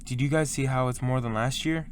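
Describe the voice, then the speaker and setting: A man's voice: medium pitch, steady tone, a little fast, slight background noise, lightly acted. A man playing an impatient, sarcastic young shooter in an audio drama, speaking casually.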